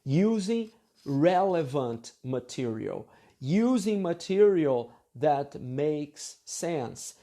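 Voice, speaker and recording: A man giving a lecture, reading from his article and commenting on it, speaking slowly and deliberately with frequent fillers and checks like "okay?". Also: a little high, warm tone. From Brazil. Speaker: university English teacher.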